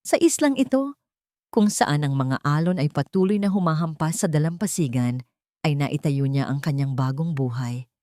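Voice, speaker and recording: A woman's voice: low-pitched, expressive, moderate pace, mellow, no echo, clean studio sound. A woman narrating a scripted, dramatic love story as a storyteller.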